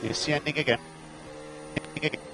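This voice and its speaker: A man's voice: on the high side, fast, gravelly, music in the background. A man working as a race engineer, giving terse, clipped instructions and data over motor racing team radio. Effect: team radio transmission.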